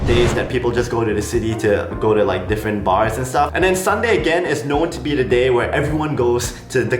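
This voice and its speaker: A young man from Malaysia with a slight American accent, talking casually to camera as a vlogger in a chatty, frank way. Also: medium pitch. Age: young adult. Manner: chatty, frank, lots of "like" and "honestly". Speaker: vlogger, international student. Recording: roomy echo with music behind.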